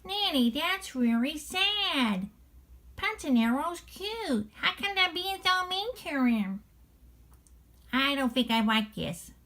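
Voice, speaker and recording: A woman's voice: high-pitched, very animated, sharp tone, pitched above the performer's own voice, exaggerated voice. A woman voicing an innocent, curious, tender-hearted stuffed toy dog for a children's storybook. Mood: sad, sympathetic. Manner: childlike, slow, questioning interjections. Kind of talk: children's storybook read-along character voice.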